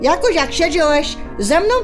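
Bossy middle-aged mother, Polish highlander dialect, very high animated voice, scolding and insistent, music behind. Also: normal speed. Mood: bossy nagging. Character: bossy, nagging highlander mother fussing over her household. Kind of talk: audio drama acting.

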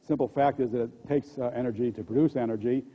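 An older man with an American accent gives a conference keynote at a podium microphone, speaking haltingly and often repeating words and restarting. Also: medium pitch, steady tone. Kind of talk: conference presentation. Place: conference hall stage at a podium. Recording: dull, muffled lo-fi audio.

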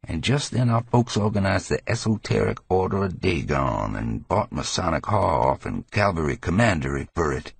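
Husky creaky old new england coot, spooked and rambling, audiobook horror tale, close dry sound. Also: male voice, low voice, very animated, normal speed, hardly any pauses, no echo, pitched above the performer's own voice, exaggerated voice. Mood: conspiratorial. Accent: rural New England. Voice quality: gravelly, husky old man's rasp. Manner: rambling folksy dialect, cackling and fearful. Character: hysterical, frightened old New England drunkard telling dark secrets. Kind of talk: dramatized audiobook character voice.

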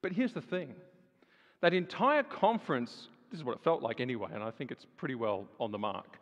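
Animated man preaching in a reverberant hall. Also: mid-range voice, fairly quick. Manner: animated, rising emphasis, conversational asides with fillers. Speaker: guest speaker. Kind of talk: sermon-style talk to a live audience. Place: large hall with audience. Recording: dull sound with long hall reverb.

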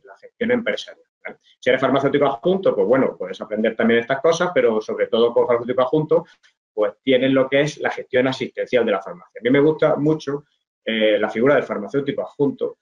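A middle-aged man from Spain walks students through his slides in a relaxed, halting way, often pausing on fillers and restarting phrases. His voice comes through a webcam with a slightly dull, lo-fi sound. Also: medium pitch. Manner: hesitant, many fillers and restarts, explaining to students. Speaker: pharmacist giving a webinar talk. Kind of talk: informal online presentation over slides. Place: indoors at a desk. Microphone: webcam video call.

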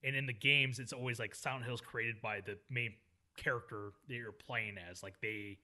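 A man co-hosting a film podcast, talking in a rambling, animated way and often correcting himself mid-sentence. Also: medium pitch, moderate pace. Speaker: podcast co-host. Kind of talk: casual film discussion.

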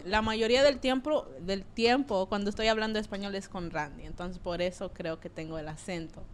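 A woman in her twenties from California, a slightly shy and soft-spoken guest on a talk show, speaking into a handheld mic. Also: mid-range voice, normal speed, slight background noise. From California, USA. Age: 20s. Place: small indoor room.

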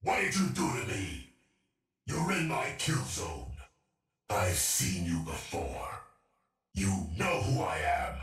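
A man's voice: deep voice, sing-song, slow pace, long pauses, recorded from a distance, exaggerated voice. A man voicing a paranoid undead cyborg killer in a video game, with a robotic, menacing growl and clipped, unhinged delivery. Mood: paranoid, agitated. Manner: clipped, unhinged muttering swinging into shouts. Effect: robotic voice filter. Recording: echoey game audio with music behind.

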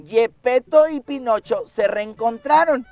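A woman with a Mexican accent telling a children's story in an expressive, playful, emphatic way. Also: on the high side, a little slow. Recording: music behind the voice.

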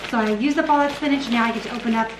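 A woman in her late thirties with a fairly high voice talks casually to her vlog viewers. She is in a home kitchen with noticeable echo, and there is clear noise behind her. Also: very fast. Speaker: lifestyle vlogger. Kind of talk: casual vlog chat while cooking, answering viewer questions.

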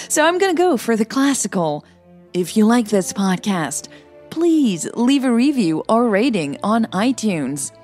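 A woman's voice: on the high side, very animated, a little slow, darkish tone, soft background music. A woman hosting a podcast and narrating a romance story, sounding a little tired. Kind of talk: scripted romance narration and casual podcast outro.

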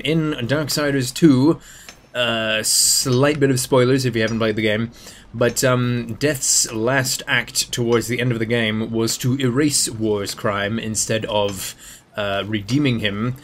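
Guy playing a game n chatting away, medium pitch, jokey and slangy, some game noise behind. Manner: jokey, slangy, muttering to himself. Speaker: gamer, video game player. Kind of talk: casual let's play commentary. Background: video game sound.

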